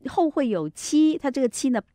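A woman's voice: high voice, big pitch swings, moderate pace, warm tone, no echo, clean studio sound. A woman teacher on a radio programme, explaining pronunciation in a didactic way, repeating points and demonstrating sounds. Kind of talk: explanatory language lesson on pronunciation. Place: radio studio, dry close sound.

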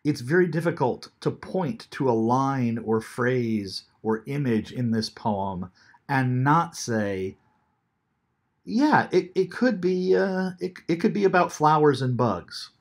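A man in his fifties giving a recorded lecture from an armchair at home, thoughtful and unhurried with frequent ums, into a webcam. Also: mid-range voice, lively intonation. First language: English. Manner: unhurried, thoughtful, many ums, reads poetry aloud slowly. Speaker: teacher. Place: small room at home, seated in armchair.

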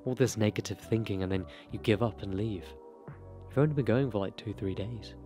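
Young male vlogger: earnest, direct motivational delivery, lowish voice, close-miked in a bedroom over soft music. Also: brisk. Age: young adult. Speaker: self-improvement YouTuber. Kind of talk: talking-head advice monologue. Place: small bedroom. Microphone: desk broadcast mic close to mouth.

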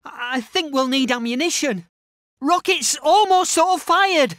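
A timid infantryman in a war game, with a notably high voice that swings up and down. He sounds unsure of himself and hesitates politely, taking his words slowly. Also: animated, a bit bright, dry recording, studio quality, exaggerated voice. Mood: worried. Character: timid, unsure British infantry soldier, eager to obey. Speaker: infantry soldier unit voice. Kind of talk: video game unit barks.

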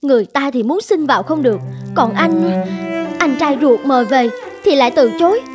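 High-voiced nagging young cartoon wife. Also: female voice, animated, moderate pace, over loud music. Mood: exasperated, scolding. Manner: complaining, reproachful. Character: dissatisfied, nagging young wife envious of others. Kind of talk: cartoon drama dubbing.

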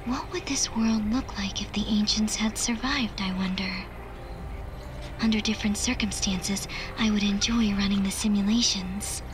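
An actress voicing a detached digital AI girl in a video game cutscene, measured and precise. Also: medium pitch, fairly even intonation, natural voice. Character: calm female digital AI girl, cryptic. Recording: game audio with music underneath.

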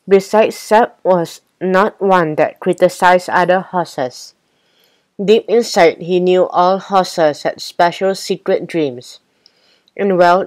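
Female children's audiobook narrator, slightly low pitch, unhurried scripted read, dry recording. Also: darkish tone, no echo. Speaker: storybook narrator.

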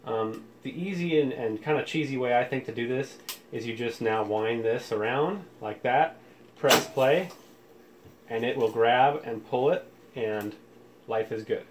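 A man's voice: mid-range voice, measured pace. A man hosting a casual how-to demonstration, explaining things informally, step by step. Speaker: tutorial host. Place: indoors, room with audio equipment. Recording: clear background room noise.

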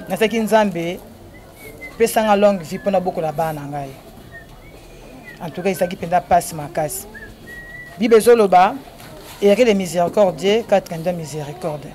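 A woman's voice: lowish voice, darkish tone. A middle-aged woman, giving an earnest, preaching speech into a handheld microphone in an orphanage courtyard. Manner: earnest, preaching tone, tearful at times. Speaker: NGO president visiting an orphanage. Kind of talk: impromptu speech to a crowd.

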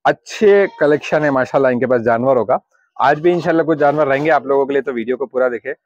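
A man hosting a casual walkthrough of goats at an outdoor pen, asking quick questions and repeating the answers for his viewers. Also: medium pitch. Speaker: goat trader vlogger. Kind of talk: casual on-site livestock walkthrough. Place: outdoor rooftop goat pen. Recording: muffled phone audio with noise.

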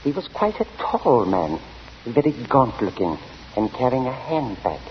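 A man playing an elderly, self-assured gentleman witness in a 1940s radio drama, speaking formally and with deliberate emphasis. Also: medium pitch, very animated. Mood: grave, certain. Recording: muffled, noisy old radio broadcast.

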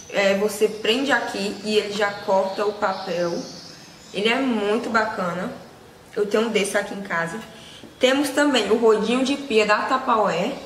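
A woman, casually presenting products to camera as a vlogger and seller. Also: mid-range voice. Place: small indoor room with shelves. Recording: echoey room with loud background noise.

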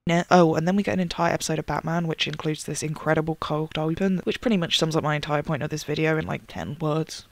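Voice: mid-range voice, steady tone, fast talker, gravelly, few pauses. A narrator with a slight lisp giving a wry, sweary, emphatic video essay rant.

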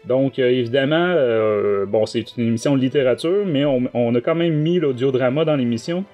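A man's voice: medium pitch, moderate pace, warm tone, dull audio, soft background music. A man with a Quebec French accent hosting a casual book talk podcast, speaking in hesitant, broken-up phrases. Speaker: podcast host.

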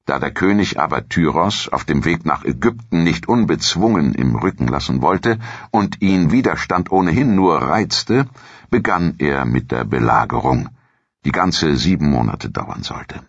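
Extremely deep, gravelly male narrator. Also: animated, a little slow, no echo, muffled recording. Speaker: documentary narrator. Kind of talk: scripted history narration.

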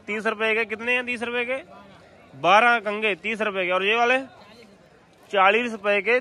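A man's voice: high-pitched, steady tone, normal speed, pushed and bright. A man giving repetitive, sales-like running commentary to viewers as a street market vlogger, asking vendors prices. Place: busy outdoor street market.

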